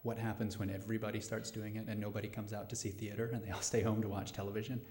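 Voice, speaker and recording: Low-voiced man, thoughtful and halting. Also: little pitch movement, darkish tone. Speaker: playwright answering audience questions. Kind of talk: post-show question and answer talk. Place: live radio play venue before a studio audience.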